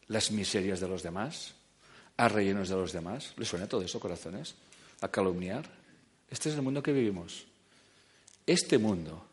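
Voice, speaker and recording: Animated man lecturing an audience. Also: lowish voice. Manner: animated, joking, swings between loud and quiet. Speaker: speaker giving a talk.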